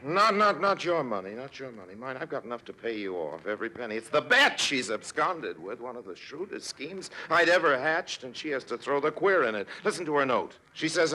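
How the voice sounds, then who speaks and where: A man playing a suave, sardonic con artist in a 1950s radio drama, with wry, theatrical, deliberate phrasing. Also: medium pitch, bright, forceful tone, low-quality recording. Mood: indignant.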